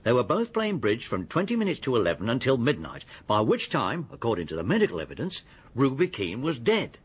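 Husky police detective. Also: male voice, medium pitch, huge pitch swings, a little fast, exaggerated voice. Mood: confident. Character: matter-of-fact police detective weighing up suspects. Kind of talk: radio drama acting.

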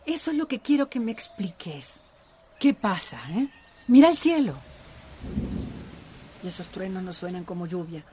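A woman's voice: mid-range voice, very animated, moderate pace, pauses often, warm tone, slightly rough. An actress playing a doubtful, fretful village woman in a radio drama. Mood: sceptical, uneasy.

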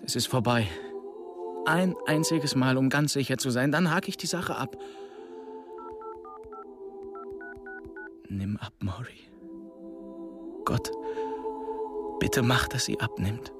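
A man's voice: mid-range voice, very animated, unhurried, pauses often, music in the background. An actor playing a heartbroken, doubt-ridden young man in an intimate inner monologue for a radio drama. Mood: anxious resolve. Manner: brooding, self-questioning.

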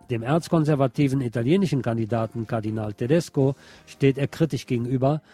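Male review host, mellow, medium pitch, addresses listeners with opinionated asides, light music bed. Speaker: radio or podcast host reviewing films. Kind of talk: film review, part scripted narration, part conversational.

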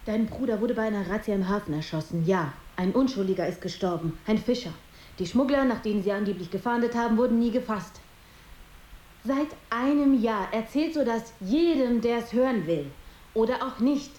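A woman's voice: a little high, moderate pace, warm tone, echoey room, close to an everyday voice, poor audio. An actress in a German radio drama playing a weary, hurt wife who reproaches and pleads with her husband, slipping into Spanish.

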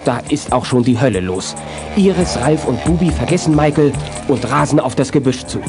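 A man's voice: mid-range voice, animated, brisk, over music, close to an everyday voice. A man narrating a scripted German children's detective radio play as its storyteller. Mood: suspenseful.

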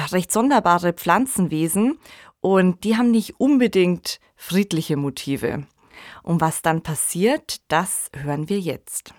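A woman's voice: fairly low pitch, no room sound. A woman co-hosting a podcast, giving a conversational introduction in a studio. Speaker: podcast co-host.

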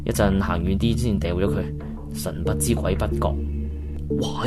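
Man playing a grumbling, low-voiced Hong Kong driver. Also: steady tone, moderate pace, natural voice. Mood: alarmed, cursing. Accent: Hong Kong Cantonese. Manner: slangy, cursing, muttering to himself. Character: hot-tempered, crude, grumbling male Uber driver. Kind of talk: radio drama acting. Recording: music behind the voice.

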